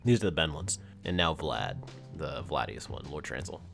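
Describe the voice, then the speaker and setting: A man's voice: extremely low pitch, animated, normal speed, gravelly, a bit bright, faint music behind. A man chatting casually as he records a voice acting diary, jokey and thinking aloud. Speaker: creature voice actor recording a video diary. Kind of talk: casual behind-the-scenes chat.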